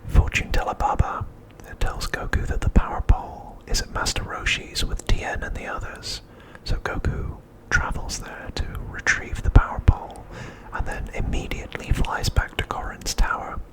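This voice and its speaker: A man whispering an ASMR narration in a soft, unhurried way, with deliberate phrasing and pauses. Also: low-quality recording. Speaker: host of an ASMR channel.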